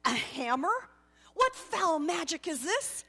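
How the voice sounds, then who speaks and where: A woman's voice: extremely high pitch, sing-song, slow, sharp tone, big-room sound, voice pushed up, exaggerated voice. A woman storyteller voicing a proud, wealthy male wise man with an emphatic, declamatory manner. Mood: shocked, protesting.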